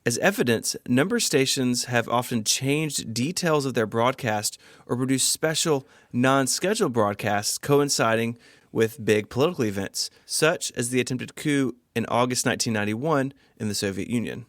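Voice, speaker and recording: A man's voice: mid-range voice, normal speed, no echo, clean studio sound. A man co-hosting a podcast, reading scripted explanations mixed with casual banter. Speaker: podcast co-host.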